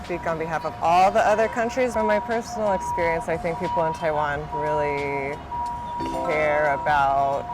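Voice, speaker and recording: A woman from the United States answering interview questions in a relaxed, friendly way, often letting her voice trail off softly. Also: sits low, moderate pace, loud music behind the voice, poor audio. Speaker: interview guest. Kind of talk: casual conversation.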